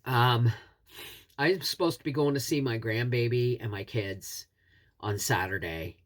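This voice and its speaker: A woman's voice: very deep voice, a little slow. A middle-aged woman with a head cold and a scratchy throat, a homesteading host chatting earnestly to camera. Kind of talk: casual talk to camera.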